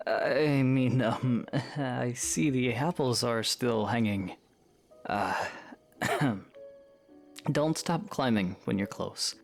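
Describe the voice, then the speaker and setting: A man playing a guarded young swordsman in an audio drama, serious and awkward, muttering and hesitant, with a gravelly, husky voice. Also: medium pitch, slow, faint music behind. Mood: awkward, flustered. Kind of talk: audio drama character dialogue.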